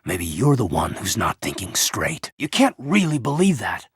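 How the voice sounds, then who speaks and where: A man playing an earnest, loyal and conflicted space marine officer in a video game, with a husky voice and a sincere military manner. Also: fairly low pitch, huge pitch swings, moderate pace, a bit bright, no room sound, clean studio sound, exaggerated voice. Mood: wounded, accusing. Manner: sincere, military, clipped and intense. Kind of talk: video game voice acting, dramatic dialogue.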